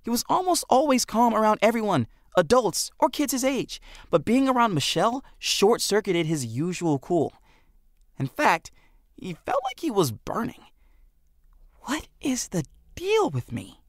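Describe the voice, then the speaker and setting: Animated male audiobook narrator, dry studio sound. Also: high-pitched, normal speed, no echo. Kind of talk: expressive scripted story narration.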